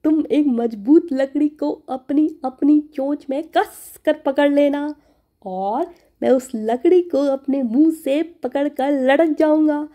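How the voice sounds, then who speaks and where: Lady doing a chatty boy turtle for a kids cartoon, high soft voice, pushy and anxious, repeats himself. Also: slow pace. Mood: eager scheming. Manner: chatty, insistent, repeats words. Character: talkative male turtle, anxious, pleading and boastful. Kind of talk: animated children's fable acting.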